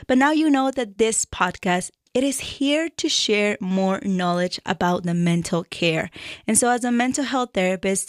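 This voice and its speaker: A woman from Peru with a Peruvian Spanish accent, a therapist hosting a radio show and reading a prepared introduction in a measured, earnest way. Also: mid-range voice, no room sound, clean studio sound. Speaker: radio show host and mental health therapist.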